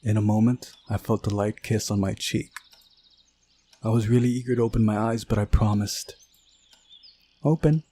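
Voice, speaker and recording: A man's voice: on the low side, level tone, moderate pace, warm tone, husky. A man reading a visual novel aloud as the narrator of a playthrough. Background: music.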